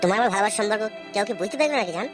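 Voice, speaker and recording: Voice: mid-range voice, lively intonation, very fast, music in the background, lightly acted. A performer voicing a lovestruck, lecherous young village man in a comic cartoon, wheedling and sweet-talking. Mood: amorous, coaxing. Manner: wheedling, cajoling. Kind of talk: comic Bengali cartoon voice acting.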